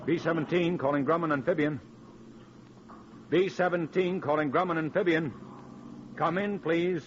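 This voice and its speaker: An eager airman acted by a man with a high voice. He calls out earnestly in vintage radio drama style. The recording is muffled, with faint noise. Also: moderate pace, close to an everyday voice. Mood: urgent, earnest. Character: eager, excitable airman and radio operator in crashed crew. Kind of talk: 1940s radio acting.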